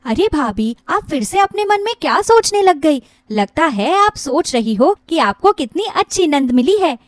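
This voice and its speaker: Woman voicing a very high-pitched, bubbly young sister-in-law. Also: sing-song, normal speed, dry recording, studio quality. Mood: teasing, cheerful. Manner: eager, playful, talkative. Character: cheerful, chatty, affectionate young sister-in-law. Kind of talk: animated Hindi moral-story character voice.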